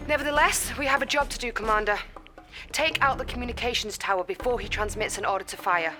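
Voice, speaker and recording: An actress plays a brisk young female intel officer who gives crisp, earnest briefings. Her voice sits a little high. Clear game music runs beneath her. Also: natural voice.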